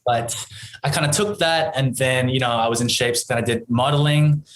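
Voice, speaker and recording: A man's voice: mid-range voice, moderate pace, a little hiss or hum, rough recording. Brief: Australian man, 30s, interview guest, loose and chatty, lots of filler words. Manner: relaxed, rambling, full of ums and you-knows. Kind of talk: casual interview chat.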